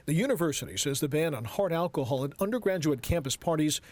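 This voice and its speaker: A man's voice: on the high side, animated, fast, darkish tone, a little hiss or hum. An American man narrating a scripted television news report.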